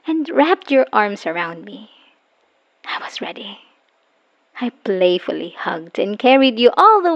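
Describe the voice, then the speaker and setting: Dull lo-fi audio, actress playing a hurt bitter woman finally leaving her abusive partner, halting n emotional, asmr roleplay style. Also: fairly high pitch, vocal fry, exaggerated voice. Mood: bitter, seething. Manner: emotional, halting, sighing, dropping into whispers. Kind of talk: dramatic ASMR audio roleplay monologue, voicemail confession. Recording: dull lo-fi voice recording.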